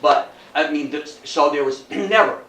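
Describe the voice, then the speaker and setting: A man's voice: fairly high pitch, measured pace, bright, forceful tone. An older man giving an informal history talk to an audience, folksy and chatty, putting rhetorical questions to his listeners. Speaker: lecturer. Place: indoor room before an audience. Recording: roomy, distant mic, faint noise.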